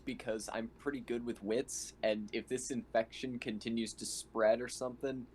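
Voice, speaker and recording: Mid-pitched man chatting over game. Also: fairly even intonation, moderate pace, a little mellow, slight background noise. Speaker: tabletop role-playing player. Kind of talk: casual game table chat.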